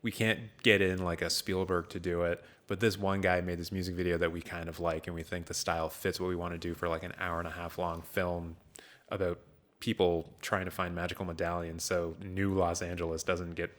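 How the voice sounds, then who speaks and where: Low-voiced man on a film podcast. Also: little pitch movement, a little fast, fairly bright tone. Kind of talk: casual film discussion.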